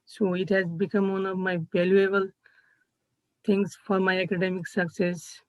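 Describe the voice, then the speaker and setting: Nepali-accented man, halting video-call presenter. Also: high-pitched, flat delivery, no room sound. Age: young adult. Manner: halting, searching for words, frequent restarts. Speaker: student intern presenting. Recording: dull, slightly muffled video-call audio.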